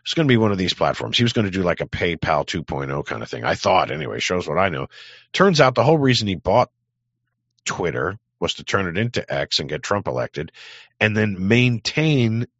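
Male livestream host, low and creaky, profane rambling rant, sardonic delivery, close dry but muffled recording. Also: very animated, a little fast, fairly bright tone, no echo. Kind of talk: rambling political rant to live chat.

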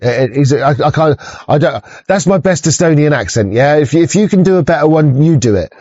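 A man rambling on his own livestream, cursing often and tripping over his words as he restarts. His voice sits a little high for a man. The mic sounds dry and slightly muffled. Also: lively intonation, a little fast, mellow, dry recording. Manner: heavy swearing, frequent stammered restarts. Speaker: livestream host. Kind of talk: casual rambling monologue.

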